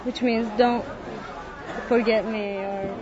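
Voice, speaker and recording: A young woman from Turkey with a Turkish accent, chatting haltingly and fondly in an interview at a picnic. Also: a little high, fairly even intonation. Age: young adult. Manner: halting, giggly and fond. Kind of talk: casual interview chat. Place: outdoor picnic crowd. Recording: muffled, crowd noise behind.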